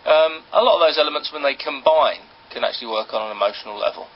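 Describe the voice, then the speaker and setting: A man with an English accent talks very quickly in a fairly high voice. He rambles mildly and hesitantly, like a radio sidekick in casual chat. The sound is dull and lo-fi. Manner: hesitant, rambling, mild-mannered. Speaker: radio show producer and on-air sidekick. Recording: dull lo-fi radio recording, clear noise.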